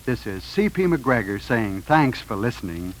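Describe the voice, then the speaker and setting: A man's voice: medium pitch, very animated, normal speed. A man hosting a 1940s radio program in a polished, formal announcing style. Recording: old radio recording, faint noise, crowd.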